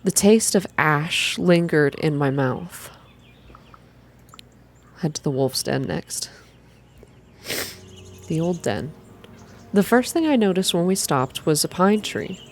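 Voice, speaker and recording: A woman softly reading a scripted first-person story in a measured ASMR style, as narrator. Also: fairly low pitch, lively intonation, slight background noise. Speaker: reader, narrator.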